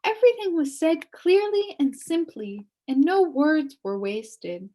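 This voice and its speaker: Woman doing a sad old lady from a storybook, kinda high voice, takes her time, wistful. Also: lively intonation, measured pace, dark tone. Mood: proud, reflective. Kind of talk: storybook character reading.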